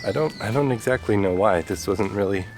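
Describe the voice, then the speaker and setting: A man's voice: fairly low pitch, brisk, background noise. A young man from the Chicago area with a stuffed-up, nasal voice from a cold, chatting casually as he walks through the city. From Chicago area, USA. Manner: relaxed, chatty, talks while walking. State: congested from a cold. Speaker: vlogger. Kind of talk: casual vlog chat. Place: outdoors on city streets. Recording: with music playing underneath.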